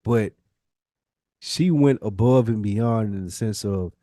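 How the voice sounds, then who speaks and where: A man's voice: on the low side, takes it slowly, mellow, dry recording. Male, forties, podcast co-host. Casual, profane storytelling with slang and repetition, recorded dry and close. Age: 40s. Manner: slangy, profane, repeats phrases for emphasis. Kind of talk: casual chat, personal storytelling.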